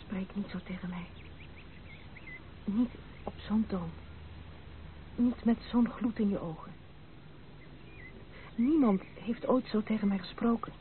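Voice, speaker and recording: Through a narrow, noisy old radio recording comes an actress playing a proud young woman in love. She speaks with earnest drama and halting repetitions, as if wrestling with her conscience, in classic radio play manner. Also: mid-range voice, natural voice. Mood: overwhelmed, hushed. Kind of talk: Dutch radio play acting.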